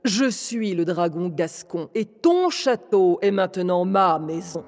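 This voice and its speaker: Woman doing a dragon, cavernous, mocking, slow, faint music behind. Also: fairly low pitch, lively intonation, darkish tone. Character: boastful male dragon, deep cavernous voice.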